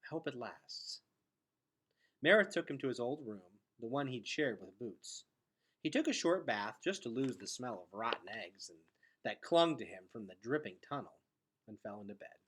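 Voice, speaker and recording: A man reading a children's novel aloud in an expressive storytelling style. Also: medium pitch, moderate pace, husky. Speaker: read-aloud reader.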